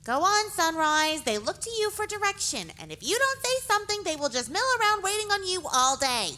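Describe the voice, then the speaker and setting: Shrill, bossy little pink pony. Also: female voice, big pitch swings, a little fast, sharp tone, heavily acted. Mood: impatient prodding. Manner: scolding, exclamatory. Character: bossy, impatient imaginary pink pony nagging her companion.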